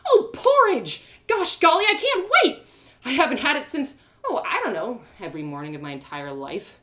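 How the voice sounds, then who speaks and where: A woman's voice: high-pitched, big pitch swings, normal speed, fairly bright tone, pitched above the performer's own voice. A woman voicing a spirited young farm woman in a storybook, a sarcastic, exclaiming daydreamer. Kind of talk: storybook character voice.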